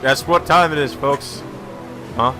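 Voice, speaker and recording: A man's voice: a little high, measured pace. A man reading visual novel text aloud as a let's play host, with dramatic delivery and casual asides, over game music. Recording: music playing behind the voice.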